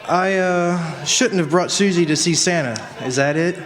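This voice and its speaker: A man playing a friendly, apologetic gentleman in a radio drama, polite and hesitant. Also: on the high side, normal speed, some chatter behind, lightly acted. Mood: sheepish. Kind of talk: live stage radio drama acting. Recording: echoey hall, faint audience.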